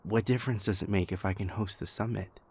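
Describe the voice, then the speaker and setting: A man playing an anxious, exasperated young vampire prince in an intimate audio roleplay, speaking in a halting, stammering way. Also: fairly low pitch, fairly even intonation, darkish tone, husky. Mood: puzzled, hurt. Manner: halting, stammering, venting, sighing pauses.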